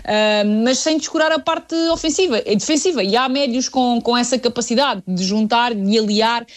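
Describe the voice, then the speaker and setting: A woman from southern Portugal with a European Portuguese accent, a panelist giving a measured football analysis with many pauses to find her words. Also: on the high side. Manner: measured, hesitant, many fillers and restarts while building a point. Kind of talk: football podcast discussion.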